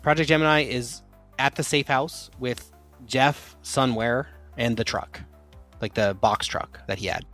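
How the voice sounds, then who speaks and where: Relaxed male game master. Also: medium pitch, unhurried, soft background music. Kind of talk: running a tabletop game.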